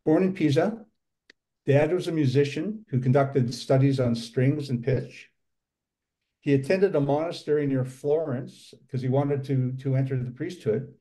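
An older man giving a casual club presentation over a video call from a home room, halting with many fillers and restarts. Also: mid-range voice, warm tone, rough recording. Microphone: video call webcam.

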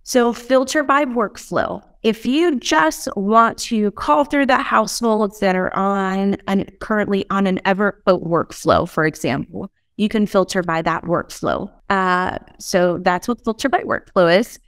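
A woman in her thirties explaining software step by step as a trainer, often checking in with short tag questions. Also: mid-range voice, unhurried, few pauses, smooth, no echo, clean studio sound. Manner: explanatory, checks in with "right?" and "okay?". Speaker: software trainer in customer support. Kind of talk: instructional screen-share walkthrough. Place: indoor room.

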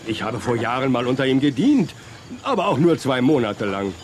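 A man plays a flustered old-West army colonel who grumbles and hesitates. His pitch jumps about a lot, over loud outdoor background noise in a radio play. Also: very animated, a little fast, natural voice. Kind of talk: German children's audio drama acting.

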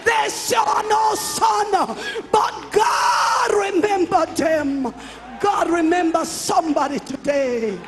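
A man's voice: very high-pitched, slow pace, raised voice. A man preaching and praying in a fervent, declamatory charismatic style, repeating phrases for emphasis. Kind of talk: fervent charismatic sermon and prayer. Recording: live worship service with music and crowd. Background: music and congregation.

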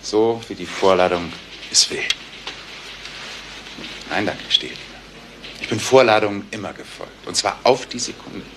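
Very deep, smug gentleman swindler played by a man. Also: lively intonation, normal speed, long pauses, noisy background, close to an everyday voice. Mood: haughty, cool. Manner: polite, philosophising, pompous. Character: cultured, cynical, smug male master swindler and seducer. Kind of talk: German TV crime drama acting.

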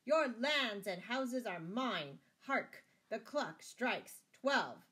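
A woman voicing a greedy, gloating medieval abbot who is quick to rage, in a storybook style. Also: high voice, very animated, voice pushed up. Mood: furious. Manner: chuckling, gloating, blustering. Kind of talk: storybook character voice.

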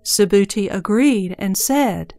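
Narrator, female: scripted scripture links, mellow, some vocal fry, mid pitch. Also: animated, slow, no echo, faint music behind.

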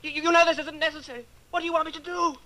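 A man's voice: very high-pitched, steady tone, very fast, bright, forceful tone, heavily acted. An actor playing a broken, terrified male political prisoner in a radio drama. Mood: panicked pleading. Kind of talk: old-time radio drama acting.

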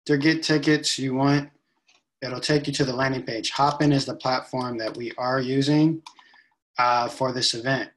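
A young man giving a relaxed step-by-step tutorial, with frequent ums, recorded on a laptop webcam in a room at home. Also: mid-range voice, moderate pace. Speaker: event staff giving a tutorial. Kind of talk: casual screen-share walkthrough.

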